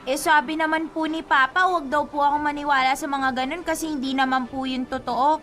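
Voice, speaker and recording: Little filipino schoolgirl, super high voice, polite n curious, radio drama, soft music behind. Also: steady tone, fairly quick. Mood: innocent, questioning. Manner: polite, innocent, questioning. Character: cheerful, dutiful young schoolgirl granddaughter.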